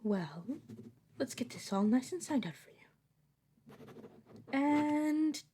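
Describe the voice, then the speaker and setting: Woman acting as a female clerk, soft-spoken, courteous, helpful, audio roleplay, noticeable background noise. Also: high voice, animated, slow. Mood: gentle, helpful. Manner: polite, hushed, helpful.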